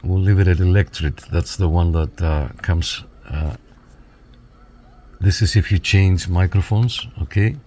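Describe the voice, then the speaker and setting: A man's voice: sits low, unhurried, darkish tone, a little hiss or hum. A man reviewing a piece of equipment, casually talking viewers through it step by step in an instructional way. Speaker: radio reviewer giving a demonstration. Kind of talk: casual hands-on product walkthrough.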